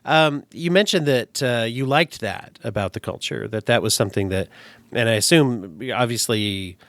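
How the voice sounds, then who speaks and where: A man's voice: mid-range voice, moderate pace, a bit bright, no room sound. A man from the United States hosting an interview, thinking aloud in a thoughtful, digressive way. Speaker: podcast host. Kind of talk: casual interview. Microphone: remote call.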